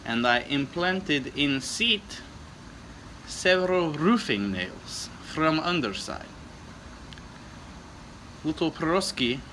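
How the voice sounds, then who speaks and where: A man playing a wistful, solemn Bulgarian recalling his childhood, deadpan and halting, in broken English. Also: on the high side, a little hiss or hum, full character voice, low-quality recording. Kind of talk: improvised comic in-character storytelling.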